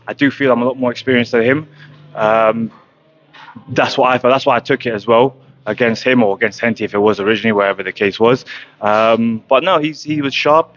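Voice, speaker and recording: A young man from Croatia, a professional boxer, speaking in a matter-of-fact way with many pauses and ums in an interview at a gym. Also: medium pitch, fairly bright tone, muffled recording. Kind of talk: casual interview. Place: boxing gym, indoors. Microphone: handheld mic held close.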